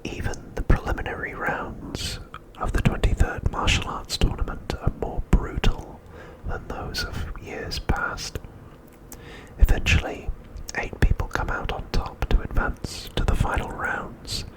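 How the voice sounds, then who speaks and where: Slow whispering man, ASMR narration. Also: low-quality recording. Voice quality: breathy unvoiced whisper. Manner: soft, deliberate, with frequent pauses between phrases.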